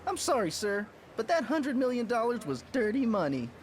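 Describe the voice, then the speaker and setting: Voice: mid-range voice, very animated, moderate pace, a little hiss or hum, lightly acted. A performer playing an earnest, defiant fifteen-year-old boy superhero in a motion comic. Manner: earnest, plain-spoken.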